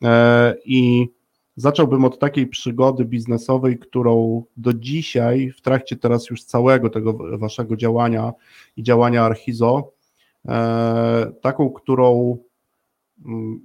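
A man's voice: mid-range voice, level tone, measured pace, darkish tone, dull audio. A man hosting a conversational interview, asking long, hesitant questions as he feels his way to the point. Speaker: podcast host interviewing guests.

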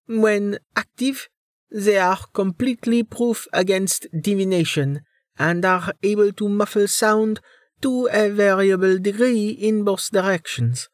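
A man voicing a chatty, composed male realtor giving a professional house-tour pitch in an audiobook. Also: unhurried, no echo, clean studio sound. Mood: polished sales patter. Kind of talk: audiobook character dialogue.

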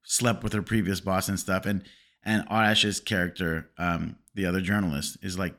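Male co-host, low-pitched, bright edge, rambling casual delivery. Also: flat delivery, moderate pace. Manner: rambling, many fillers. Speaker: podcast co-host. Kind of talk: casual film discussion.

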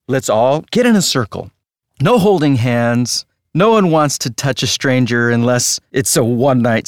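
A man's voice: mid-range voice, dry recording, natural voice. An actor plays a male walking tour guide in an audio drama, a flippant showman with a taste for the macabre. He takes his time with each phrase in a wry, theatrical way. The sound is dry, with no room around it. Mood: theatrical, showy. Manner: theatrical, wry, drawn-out storytelling.

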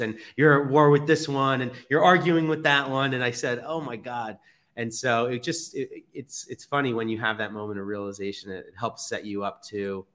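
Man hosting, mid-pitched voice. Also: a bit bright. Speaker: podcast host. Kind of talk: casual conversational interviewing and storytelling.

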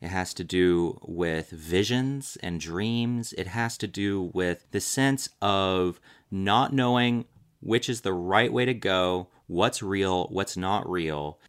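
A man around thirty hosting a casual chat, explaining tarot cards slowly and deliberately. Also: sits low, clean tone. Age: 30s. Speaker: host reading tarot. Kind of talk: casual conversation.

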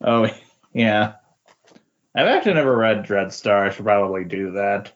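A man's voice: fairly low pitch, lively intonation, normal speed. Hesitant man in slightly muffled casual chat. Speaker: podcast co-commentator on comic books. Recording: slightly dull, lo-fi audio.

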